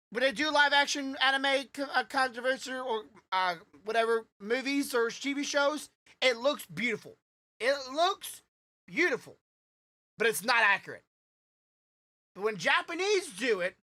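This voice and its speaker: A woman's voice: high-pitched, animated, measured pace, sharp tone. A woman co-hosting a podcast in casual chat, rambling with restarts and fillers. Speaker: podcast co-host.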